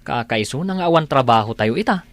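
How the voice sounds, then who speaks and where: A man's voice: mid-range voice, lively intonation, fast, a bit bright, close to an everyday voice. A man playing a pushy, encouraging young friend in an Ilocano audio drama, urging his pal on. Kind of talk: Ilocano radio-style drama acting.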